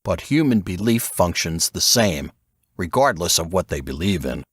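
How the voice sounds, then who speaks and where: A man voicing a grave, assured male investigator who lectures in a measured way, in an audiobook. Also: low-pitched, very animated, breathy edge, dry recording, exaggerated voice. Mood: assured, didactic. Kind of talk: audiobook character dialogue.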